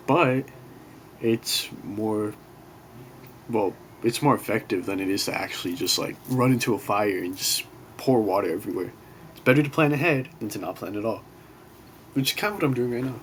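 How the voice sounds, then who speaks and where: A young man giving a casual class presentation from his bedroom, talking quietly and apologizing as he rambles a bit. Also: fairly low pitch. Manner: quiet, self-conscious, apologetic, loose and rambling. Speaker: student presenting a class project. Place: bedroom in an apartment next to a street. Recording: faint street noise.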